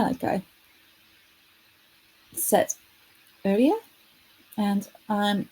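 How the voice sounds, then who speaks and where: A woman presents her work live online. Her voice is warm and slightly husky. She is earnest and often stops to correct herself. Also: medium pitch, poor audio. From Estonia. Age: 30s. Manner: earnest, self-correcting, lightly self-deprecating. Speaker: futurist presenting her product.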